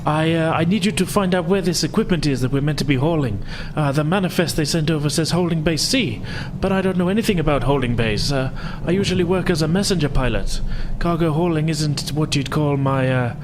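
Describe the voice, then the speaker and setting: A man's voice: a little high, fairly quick, hardly any pauses, background noise, voice pushed up, lightly acted. A man voicing a timid, fretful alien freighter pilot who stammers hesitantly in a tabletop roleplaying game. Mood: nervous, flustered.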